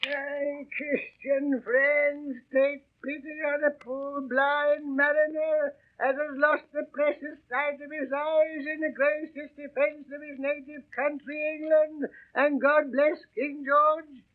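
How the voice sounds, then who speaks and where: An actor playing a sinister old blind beggar pirate in a 1930s radio drama, sliding between theatrical wheedling and menace. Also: male voice, very high voice, flat delivery, phone-quality sound. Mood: wheedling, pitiful. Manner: theatrical, drawn-out wheedling turning to hissed threats. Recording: thin, band-limited 1930s radio recording.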